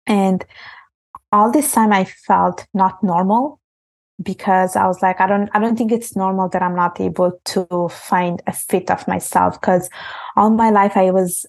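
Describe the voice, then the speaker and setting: A woman's voice: medium pitch, steady tone, a little slow, vocal fry. A young woman from Italy, a podcast guest talking earnestly and reflectively, often restarting her sentences. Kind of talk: casual interview talk.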